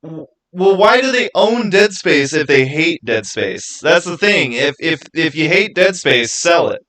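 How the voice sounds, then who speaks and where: A man hosting a livestream, chatting casually and answering viewers in an informal, opinionated, halting way that often repeats itself. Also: on the high side, big pitch swings, sharp tone. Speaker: livestream host.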